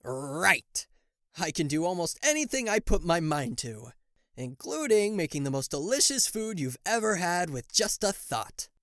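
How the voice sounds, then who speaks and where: A man's voice: sits high, big pitch swings, a little slow, a bit bright, no room sound, studio quality, full character voice. A man playing a cocky, sarcastic devil and fallen angel in a roleplay audio, with a theatrical, teasing manner that slips into flustered stammering. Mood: playful, boastful. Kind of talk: roleplay audio acting addressed to the listener.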